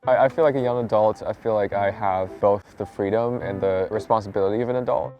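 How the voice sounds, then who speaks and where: A young man in his twenties from China, a student, talking in an interview in a relaxed, hesitant way with restarts. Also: fairly low pitch, a little fast, highs cut off, background noise. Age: 20s. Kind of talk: interview and casual chat.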